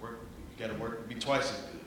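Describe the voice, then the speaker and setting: A middle-aged man in the audience asking a panel an earnest, pointed question, with long pauses between phrases. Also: lowish voice, recorded from a distance. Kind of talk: question from the floor. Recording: echoey hall with faint noise.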